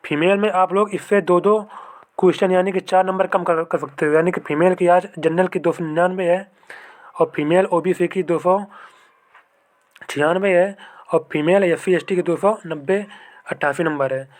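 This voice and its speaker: A man's voice: sits high, steady tone, normal speed. A young man explains informally to viewers, repeating himself and often checking in with an okay. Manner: repetitive, addresses viewers as brothers, frequent "okay" check-ins. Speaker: exam-prep video host, fellow candidate. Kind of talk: informal explainer of mock test scores and cut-offs.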